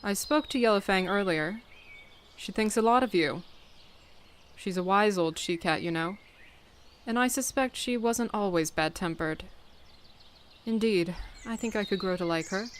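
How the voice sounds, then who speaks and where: A woman voicing a measured, commanding female cat who leads her clan in an audiobook fan dub. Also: mid-range voice, close to an everyday voice. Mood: calm, approving. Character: authoritative mature female cat clan leader, measured and stern.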